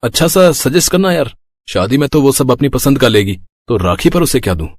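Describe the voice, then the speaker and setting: A man's voice: medium pitch, very animated, a little fast, no room sound, studio quality. A man voicing a cheeky, blunt young office friend in a dramatized story, talking casually in slangy, joking banter. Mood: excited, joking.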